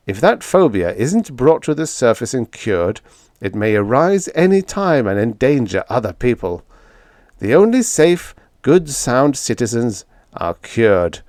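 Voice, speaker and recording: A man voicing a young, impassioned, dangerously egotistical demagogue in an audiobook, forceful and preachy. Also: mid-range voice, no echo, studio quality.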